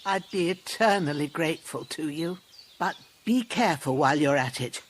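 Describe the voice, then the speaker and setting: Folksy older peasant herbalist, lively intonation. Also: female voice, low-pitched, normal speed, a little hiss or hum. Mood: caring, instructive. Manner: rustic folksy speech. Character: older peasant herbalist mother, plain-spoken, wry, motherly. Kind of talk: video game dialogue acting.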